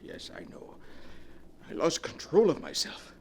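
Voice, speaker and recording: An actor playing a desperate, volatile wealthy husband in a 1940s radio melodrama, with an overwrought manner. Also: male voice, unhurried, darkish tone, a little hiss or hum, close to an everyday voice. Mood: stunned, despairing. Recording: vintage 1940s radio broadcast.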